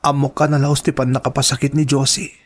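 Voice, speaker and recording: A man's voice: mid-range voice, flat delivery, quick pace, lightly acted. A man acting an anguished, worried son and devoted lover in an Ilocano radio drama. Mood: worried, dejected.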